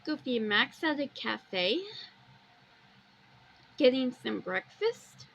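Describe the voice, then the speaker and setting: A woman with a high-pitched voice hosts a homemade walkthrough in a casual way. She describes what she sees in plain terms and drifts into her own little asides. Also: very animated, slow pace, gravelly, a bit bright, slight background noise. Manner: plainly describes pictures, wandering into personal asides. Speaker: amateur video host.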